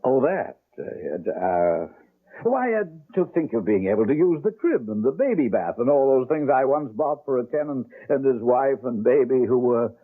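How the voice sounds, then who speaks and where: An actor playing a genteel devil disguised as an oversolicitous landlord in a radio mystery, fussy, theatrical and gleeful. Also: male voice, medium pitch, very animated. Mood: unctuous, eager. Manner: fussy, effusive, theatrical, hesitant pauses and chuckles. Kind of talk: old-time radio mystery acting. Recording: muffled, band-limited old radio drama.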